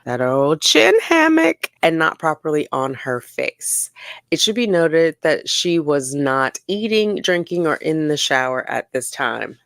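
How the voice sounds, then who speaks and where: Commentary host for dramatic document readings: creaky voice, sassy and theatrical, dry close sound. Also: medium pitch, fairly bright tone, no echo. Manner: theatrical, sassy, mock-incredulous asides. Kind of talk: dramatic reading of jail reports with casual asides.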